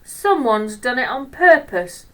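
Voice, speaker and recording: A woman's voice: fairly high pitch, sing-song, slow pace, pitched above the performer's own voice, rough recording. A woman voicing a blunt, fed-up male bus driver in a children's storybook, matter-of-fact and emphatic. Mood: grim, annoyed.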